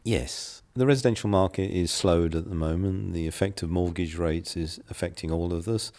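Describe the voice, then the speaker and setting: A middle-aged English man with a very low, gravelly voice, answering interview questions in a measured, businesslike way. His tone is dark and mellow, and he pauses with the odd filler as he thinks. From England. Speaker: guest, property developer.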